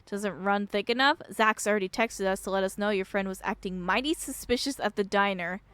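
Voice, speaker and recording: A striking miner from a visual novel, read out by a woman, suspicious and gruff in temper. The delivery is blunt and dismissive, at a middle pitch with a dry, close sound. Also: a little fast, fairly bright tone, no echo, close to an everyday voice. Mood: firm, suspicious.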